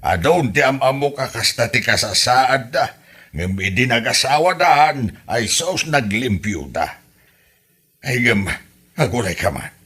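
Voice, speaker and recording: A man playing a sensible elder brother with an Ilocano accent in a comedy drama, speaking in an animated, colloquial way. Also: mid-range voice, measured pace, husky, exaggerated voice. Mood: doubtful, advising. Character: sensible, protective elder brother in village family.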